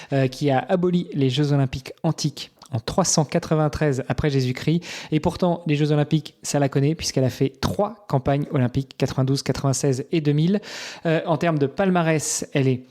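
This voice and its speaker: A man's voice: on the high side, dark tone. A man hosting a sports podcast, reading an upbeat scripted introduction and then interviewing his guest. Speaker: podcast host.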